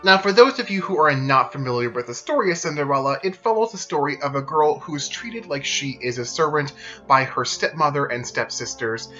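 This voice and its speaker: Bright-voiced man narrating with music. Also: a little high, lo-fi sound. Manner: steady explanatory retelling. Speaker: video presenter. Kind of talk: scripted narration.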